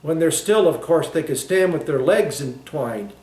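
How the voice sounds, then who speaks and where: A woman's voice: deep voice, animated, moderate pace, dark tone, echoey room. A man voicing a bossy, fussy society matron in a storybook reading, decisive yet dubious. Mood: fussy, planning.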